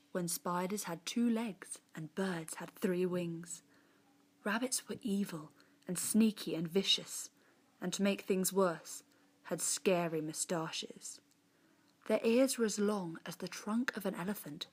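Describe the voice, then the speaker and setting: A woman reading a children's story-poem in verse, theatrical and expressive, with hushed moments and dramatic swells. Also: on the low side, husky.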